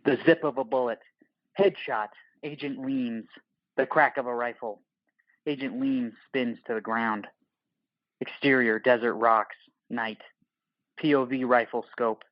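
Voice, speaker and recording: A man's voice: mid-range voice, fairly even intonation, a little slow, long pauses, no room sound, lo-fi sound. A man narrating a scripted audio drama in the present tense, with terse, clipped phrasing.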